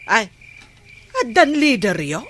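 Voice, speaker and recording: An actress with a Filipino accent playing a nagging, suspicious wife of a village captain in a radio comedy drama. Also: female voice, on the high side, sing-song, takes it slowly, a bit bright, a little hiss or hum, exaggerated voice. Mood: curious. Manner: scolding, questioning.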